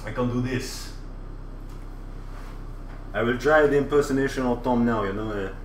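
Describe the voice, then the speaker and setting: A man's voice: mid-range voice, moderate pace, a little hiss or hum, natural voice. A man streaming live from a kitchen, putting on a vulgar, boastful French chef character with a put-on French accent, rambling and repeating "you know". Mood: cocky. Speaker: livestreamer. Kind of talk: casual livestream chat in character. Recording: roomy, distant mic, faint ambience.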